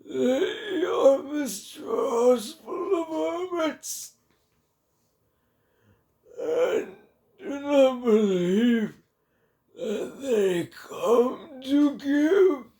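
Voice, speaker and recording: A man voicing a frail, mournful old hermit saint in a dramatic book reading, with a quavering, halting, theatrical delivery. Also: very high-pitched, very animated, warm tone, full character voice. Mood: plaintive, wistful. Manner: theatrical, very slow, halting, drawn-out. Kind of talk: dramatic audiobook character reading.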